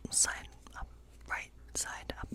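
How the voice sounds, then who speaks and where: A woman performing a whispered ASMR role play as a whimsical witch reading tarot, musing softly in a breathy, unhurried whisper.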